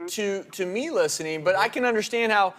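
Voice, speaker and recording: A man's voice: sits high, a little fast, fairly bright tone. A man hosting a TV talk show from a studio, talking to a phone-in caller in a friendly way, with restarts.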